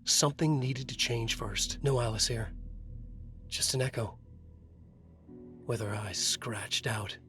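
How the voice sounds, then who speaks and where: A man's voice: mid-range voice, slow pace, long pauses, a bit bright, faint music behind. A man playing a haunted writer in a video game, narrating his nightmare in terse, brooding, dramatic lines. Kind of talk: scripted noir-style game narration.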